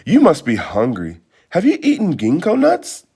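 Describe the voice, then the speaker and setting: A man plays a clever young girl from a storybook, the bold eldest sister. The voice lifts high and swings widely in a sing-song, questioning way. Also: huge pitch swings, moderate pace, gravelly, mellow, husky, exaggerated voice. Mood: wary, questioning.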